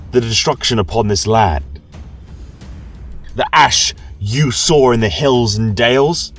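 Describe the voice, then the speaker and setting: Man playing a slow, bitter elf champion. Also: fairly low pitch, slightly rough, recorded from a distance, exaggerated voice. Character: bitter, mournful male elf champion, harsh accuser.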